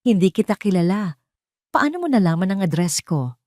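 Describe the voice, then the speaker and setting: A woman's voice: medium pitch, big pitch swings, normal speed, a little mellow, no echo, studio quality, lightly acted. A woman voicing a wary, shaken young heroine in a dramatic audio story.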